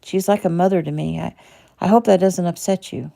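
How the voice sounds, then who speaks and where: Brief: earnest young son voiced by a woman, low mellow voice with gravel. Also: fairly quick. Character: affectionate young adult son.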